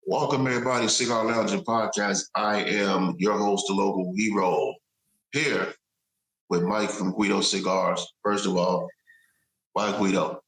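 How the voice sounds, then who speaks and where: Low-voiced host in an echoey room. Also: male voice, steady tone, a little slow, fairly bright tone. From Chicago. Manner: loose, laughing interviewer with restarts. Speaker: podcast host. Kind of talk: casual chat.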